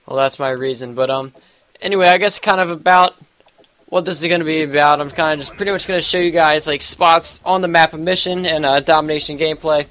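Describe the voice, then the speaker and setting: A man's voice: fairly high pitch, fairly even intonation, fairly quick, pushed and bright, dry recording. A young man with a congested, sniffly voice giving casual gameplay commentary, rambling with lots of fillers and hedges. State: congested with allergies. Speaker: gaming YouTuber.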